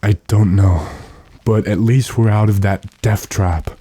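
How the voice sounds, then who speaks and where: A man playing an earnest, determined gentleman who gives commands dramatically, in the manner of an old radio drama. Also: fairly low pitch, steady tone, unhurried, breathy edge, dry recording. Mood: relieved. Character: urgent, determined gentleman trapped with his manservant.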